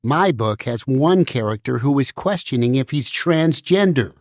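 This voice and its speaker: A man's voice: mid-range voice, lively intonation, normal speed, a little mellow, no room sound, clean studio sound, lightly acted. A man in a puppet show voicing a nervous banned book, emphatic and foreboding. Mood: resigned warning. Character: nervous, fugitive banned book, gossipy and resigned. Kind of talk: musical puppet show stage acting.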